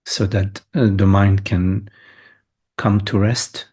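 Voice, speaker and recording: A man guiding a meditation as a teacher, speaking in short, unhurried phrases separated by long pauses. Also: on the low side, little pitch movement, a little mellow, husky. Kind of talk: guided meditation.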